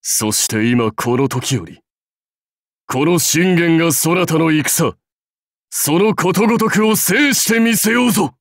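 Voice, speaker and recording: A man as a slow, stately samurai warlord. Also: medium pitch, steady tone, no room sound, studio quality, close to an everyday voice. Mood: solemn, resolute. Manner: stately, archaic lordly speech. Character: commanding, dignified male samurai warlord, proud and seasoned. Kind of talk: Japanese mobile game character voice lines.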